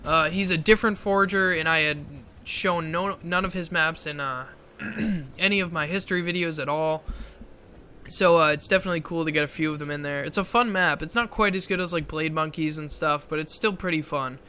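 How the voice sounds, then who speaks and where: A man giving casual commentary over gameplay footage, halting with many fillers, clearing his throat often, his voice strained from talking so long. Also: on the high side, bright, forceful tone, a little hiss or hum. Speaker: gaming video creator.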